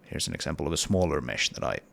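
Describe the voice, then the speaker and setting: A man's voice: very deep voice, lively intonation, quick pace, gravelly, fairly bright tone. A man with a cold and a partly lost voice, talking casually through his game level in a hesitant devlog with many fillers. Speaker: indie game developer.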